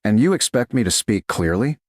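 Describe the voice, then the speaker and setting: A proud noblewoman in a medieval romance audiobook, read by a man, flustered but daring. The delivery is husky and lively in pitch, with pointed, teasing challenges. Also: brisk, dry recording, clean studio sound. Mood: tense, daring.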